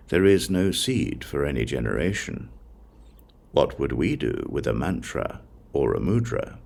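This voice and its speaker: A man reading a sacred text as an audiobook narrator, in a solemn, measured way with deliberate pauses between short phrases. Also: very deep voice, creaky, darkish tone.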